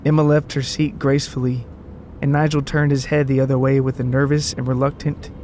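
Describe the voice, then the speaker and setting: A man's voice: medium pitch, level tone, a little fast, darkish tone, a little hiss or hum. A man narrating a scripted comic story as its storyteller.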